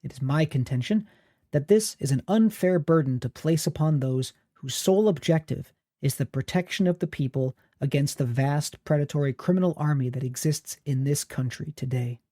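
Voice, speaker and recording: A man hosting a history podcast, reading scripted narration in a steady, formal way. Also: a little high, moderate pace, dry recording, clean studio sound. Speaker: podcast host.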